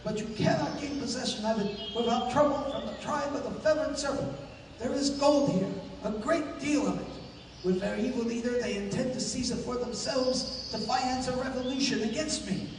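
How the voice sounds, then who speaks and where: A man's voice: very high voice, normal speed. Guy doing formal grave king in a radio adventure, big echo, noisy recording. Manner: formal, stately. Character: dignified, grave Mayan king, a father. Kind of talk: 1930s radio adventure acting. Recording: noisy, very echoey hall, distant mic.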